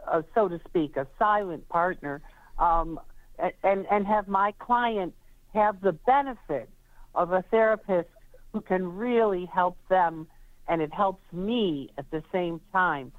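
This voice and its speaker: A woman attorney joining a radio interview over a tinny, phone-like line with a dry sound. Her voice sits fairly low, and she speaks in a halting way, full of fillers and restarts. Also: no room sound. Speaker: attorney, guest.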